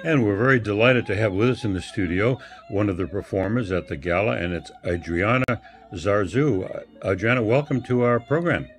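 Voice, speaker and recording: A man hosting a radio interview, asking questions in a measured way with occasional fillers. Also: fairly low pitch, faint music behind. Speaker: radio host.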